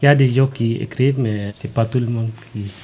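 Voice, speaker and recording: A man from Sudan, whose first language is Zaghawa, speaking in hesitant, halting learner French. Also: medium pitch, steady tone, darkish tone, a little hiss or hum.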